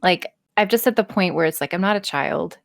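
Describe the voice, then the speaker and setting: A woman's voice: lowish voice, fairly quick, gravelly, no echo, clean studio sound. A woman speaking candidly in casual podcast chat, emphatic and freely swearing, with some self-mockery. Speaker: podcast guest, erotic audio role-play performer.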